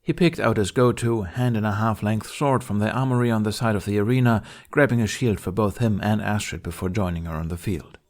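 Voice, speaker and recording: A man with a fairly low voice narrates a story. He reads in an expressive audiobook style, telling fan fiction as scripted prose. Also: fairly quick, hardly any pauses.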